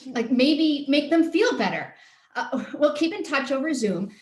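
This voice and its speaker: An actress playing an earnest, caring woman, halting as she searches for words, in a play reading over a video call. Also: high voice, animated. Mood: encouraging. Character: earnest, caring woman pleading with a cynical friend. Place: roomy space, live Zoom call. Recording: roomy echo on video call.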